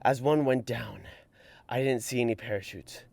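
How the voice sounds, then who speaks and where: A man's voice: mid-range voice, normal speed, gravelly. A young man reading aloud as a grim, weary soldier writing a letter home from the trenches, in a solemn, dramatic voice. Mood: mournful. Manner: solemn, mournful, sometimes hushed.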